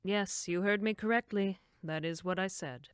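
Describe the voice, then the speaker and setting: Woman playing a prim, smooth-voiced camp director. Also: medium pitch, moderate pace, no echo, clean studio sound, natural voice. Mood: dismissive. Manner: prim, wordy, formal phrasing. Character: dismissive, fussy, chirpy female summer camp director. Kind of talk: horror audio drama acting.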